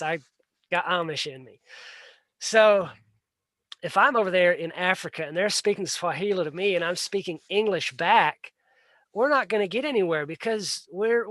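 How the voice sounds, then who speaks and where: Man, livestream host, high-ish voice, dry close sound, earnest and plain-spoken, stumbles and repeats words. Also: pushed and bright, no room sound. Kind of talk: casual solo livestream talk.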